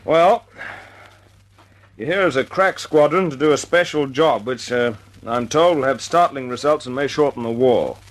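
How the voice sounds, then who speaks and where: An actor playing a brisk, commanding wartime air force squadron leader in an old-time radio drama, speaking in a clipped, authoritative way. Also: male voice, medium pitch, lightly acted. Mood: firm, commanding. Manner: clipped, authoritative, informal with his men. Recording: noisy old radio recording.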